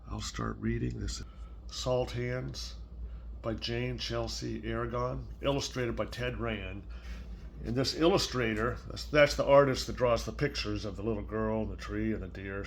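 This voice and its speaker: An older man talking gently and simply, as a grandparent reading a picture book aloud to a young child. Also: fairly low pitch, creaky, slight background noise. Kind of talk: casual read-aloud storytelling for a child.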